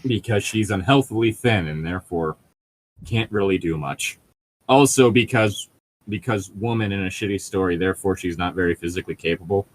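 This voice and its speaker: A man's voice: low-pitched, fairly quick, rough recording. A man co-hosting a read-along show, chatting casually between read passages with a mocking, sardonic manner.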